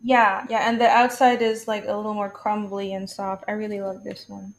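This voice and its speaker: A woman's voice: medium pitch, little pitch movement, moderate pace, gravelly, crowd noise. A young woman from Taiwan, a guest on a live stream, chatting in a bubbly way and laughing often. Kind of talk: casual live-stream chat.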